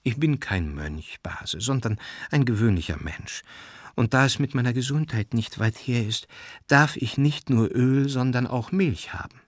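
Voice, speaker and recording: Guy doing a wrinkly sickly cousin in an audiobook, husky, polite, stubborn, kinda preachy. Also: mid-range voice, lively intonation, a little slow, no room sound, studio quality. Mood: polite, meek. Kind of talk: audiobook character acting.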